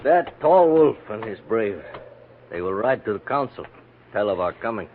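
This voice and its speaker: An actor playing a calm, cagey frontier guide who speaks terse, halting broken English in a 1950s western radio play. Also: male voice, on the low side, narrow, tinny audio. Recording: noisy, band-limited old radio recording.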